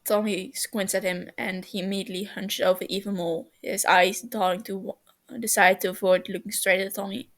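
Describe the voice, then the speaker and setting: A woman narrating fan fiction as an amateur reader, expressive, with the odd stumble and self-correction. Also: medium pitch, normal speed.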